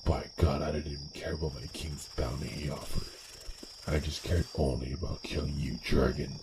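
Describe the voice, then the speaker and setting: A man playing a vengeful, hate-driven assassin in a fantasy ASMR roleplay monologue, brooding and intense, with halting, breathy phrases. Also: rumbling low, steady tone, noisy background. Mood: hateful menace. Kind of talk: dramatic first-person roleplay monologue, ASMR.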